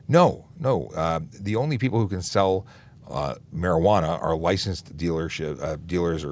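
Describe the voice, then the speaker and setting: Man, very low gravelly voice, cop answering chat questions on a livestream, easygoing with lots of fillers. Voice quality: deep voice. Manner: relaxed, many "uh" fillers and restarts. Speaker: police officer hosting a traffic Q&A livestream. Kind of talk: casual livestream Q&A, reading and answering viewer questions.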